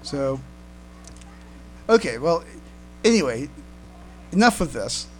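A man co-hosting a casual fan podcast, speaking haltingly and often repeating his words as he comments. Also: fairly high pitch, sing-song. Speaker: podcast co-host. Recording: noisy home recording with hum.

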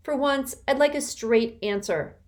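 A woman's voice: sits high, big pitch swings, normal speed, pitched above the performer's own voice. A woman reading an audiobook, voicing a cranky, petulant little girl who whines and sneers. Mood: cranky, shrill. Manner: whiny, sneering and shouty. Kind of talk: audiobook character voice.

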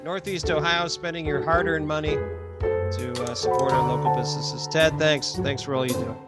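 A man's voice: fairly high pitch, fairly even intonation, normal speed, loud music behind the voice. An American man hosting in casual banter, agreeable and lightly teasing. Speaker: podcast host.

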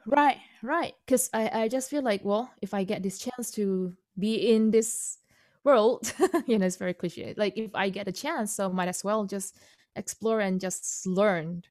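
Female composer guest, Indonesian native speaker, mid pitch, halting, dry recording. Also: darkish tone, no echo. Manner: halting, many fillers and restarts, laughs often. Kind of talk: casual interview.

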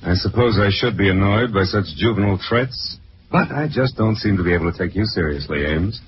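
An actor playing a smug, smooth-talking crooked defense lawyer, condescending and sardonic, in a 1940s radio drama. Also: male voice, on the low side, a little fast, natural voice. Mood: contemptuous. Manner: condescending, sardonic, drawn to mockery. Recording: muffled, noisy 1940s radio recording.

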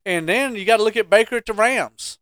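A man's voice: high voice, brisk, fairly bright tone, dry recording, clean studio sound. A man co-hosting casual sports banter, teasing and challenging with questions. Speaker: podcast co-host.

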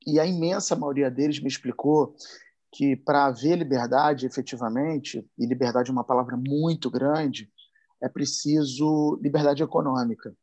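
A man's voice: medium pitch, fairly even intonation, normal speed, a little mellow, highs cut off. A man from Brazil with a Carioca accent, giving an impassioned talk full of slangy asides. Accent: Carioca Portuguese. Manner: emphatic, rising and speeding up when worked up, slangy asides.

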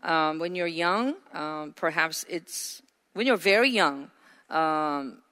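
Woman pastor, earnest sermon, lower voice, bright tone. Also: expressive, takes it slowly. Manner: earnest, asks the congregation questions. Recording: hall reverb.

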